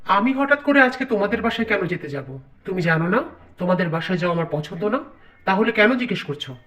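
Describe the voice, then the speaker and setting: Cartoon casting: man as greedy, rude young husband, high-pitched, snapping out curt demands. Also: animated, fast, fairly bright tone, far from the mic. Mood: irritated. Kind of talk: cartoon voice acting.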